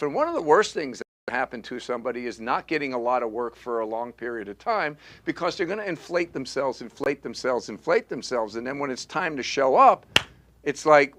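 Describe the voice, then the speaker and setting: A man's voice: fairly high pitch, a bit bright. A man teaching a live acting class as the coach, talking in an emphatic, repetitive way and drilling the students to repeat key phrases. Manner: emphatic, repetitive, punchy call-and-response teaching.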